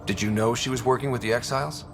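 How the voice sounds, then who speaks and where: A man's voice: medium pitch, fairly even intonation, quick pace, gravelly, noisy background, natural voice. A man acting a supportive, curious young team leader in a space video game, speaking directly and asking questions. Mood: probing.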